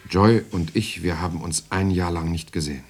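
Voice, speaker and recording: Weary prisoner telling his story, super deep voice, hushed dramatic narration. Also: steady tone, moderate pace. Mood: tender. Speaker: actor, first-person narrator of a radio play.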